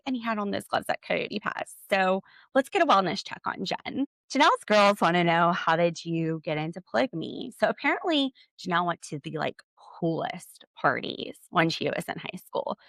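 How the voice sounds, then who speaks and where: A woman's voice: medium pitch, normal speed, gravelly, hardly any pauses, dry recording. A woman hosting a podcast and recapping reality TV with sassy, snarky commentary. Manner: snarky, sassy, animated asides. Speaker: podcast host.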